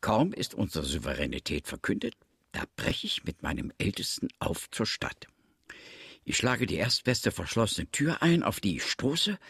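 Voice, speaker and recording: A man's voice: fairly low pitch, very animated, moderate pace, vocal fry, fairly bright tone, husky, dry recording, studio quality, full character voice. An actor playing a talkative, pious old villager grown rich, telling his story expansively in a radio drama. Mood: gleeful, boastful. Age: older.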